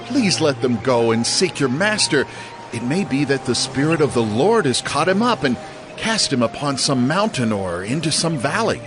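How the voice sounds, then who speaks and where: A man's voice: mid-range voice, very animated, normal speed, over music, natural voice. A man playing an earnest, deferential follower of prophets in dramatized scripture, reverent and urging. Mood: earnest pleading.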